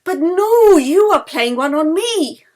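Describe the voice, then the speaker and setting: A woman's voice: very high voice, lively intonation, slow, a little mellow, voice pushed up, exaggerated voice. Woman voicing an eager, frightened young violinist. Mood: urgent. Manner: pleading, urgent.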